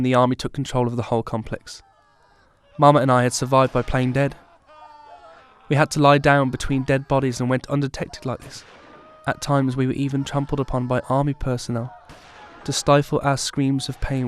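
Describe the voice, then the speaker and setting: A male actor as a haunted survivor in an audio drama. He tells of his traumatic youth in a solemn, measured way, with a medium-pitched voice. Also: little pitch movement, clean tone, close to an everyday voice. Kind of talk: scripted first-person drama narration.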